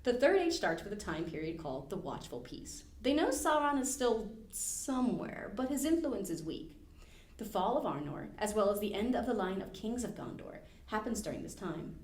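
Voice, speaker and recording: Lively woman narrating in a roomy echo. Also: mid-range voice, moderate pace. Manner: lively, casually wry asides. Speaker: library podcast host. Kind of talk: scripted narration of lore. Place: library podcast studio.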